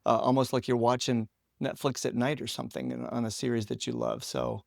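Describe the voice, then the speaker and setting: A man's voice: mid-range voice, big pitch swings, brisk, creaky, a little mellow, no room sound, clean studio sound. A man hosting a conversational podcast interview, asking questions and commenting informally on the answers.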